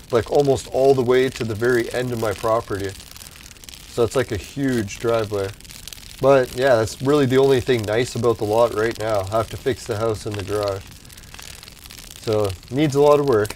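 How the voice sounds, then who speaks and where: A man's voice: medium pitch, a little mellow, slight background noise, low-quality recording. Laid-back man with a fading voice. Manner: laid-back, rambling, laughs often. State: losing his voice. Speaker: livestream host. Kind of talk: casual chat with live viewers.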